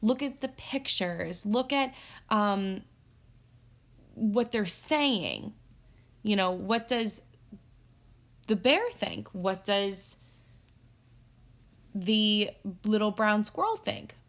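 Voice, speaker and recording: A woman teacher reading a story aloud for children, expressive and instructive, pausing to pose guiding questions. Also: medium pitch. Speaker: elementary teacher.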